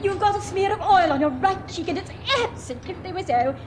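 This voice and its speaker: A gushing, patronising reporter. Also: female voice, extremely high pitch, animated, a little fast, slight background noise. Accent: English. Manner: gushing, condescending, dictates copy aloud. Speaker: newspaper reporter. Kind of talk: 1970s radio drama.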